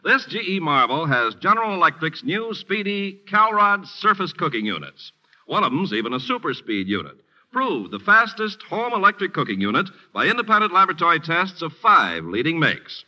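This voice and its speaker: A man's voice: fairly high pitch, big pitch swings, normal speed. An American man announcing a 1950s radio advertisement with an emphatic, polished salesman delivery. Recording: dull 1950s radio broadcast recording.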